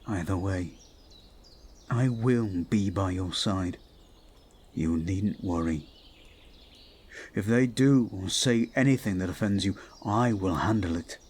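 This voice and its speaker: A man playing a devoted, protective young prince in an intimate audio roleplay, speaking gently and somewhat formally to his betrothed. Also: low-pitched, husky, exaggerated voice. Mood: soothing, protective. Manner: gentle, soothing, measured, slightly formal phrasing.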